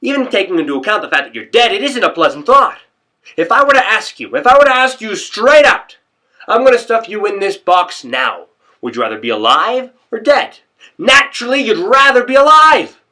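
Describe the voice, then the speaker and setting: Guy doing neurotic morbid rambler, theatrical, excitable, tense bright voice. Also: mid-range voice, normal speed, long pauses. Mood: frantic, agitated. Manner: excitable, rambling, escalating to shouting. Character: neurotic, morbidly musing man working himself frantic. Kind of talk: theatrical comic audition monologue.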